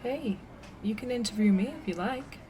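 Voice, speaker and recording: An actress playing a bubbly, teasing young coffee-shop worker in an audio drama, casual and playfully mocking, with faint room ambience. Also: female voice, a little high, moderate pace, mellow, a little hiss or hum, lightly acted. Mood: teasing, gushing.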